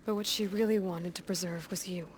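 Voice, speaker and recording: A woman playing a young, compassionate warrior heroine in a video game, talking in a plain, gently direct way. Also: fairly low pitch, steady tone, brisk, slight background noise, natural voice. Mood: sympathetic, frank.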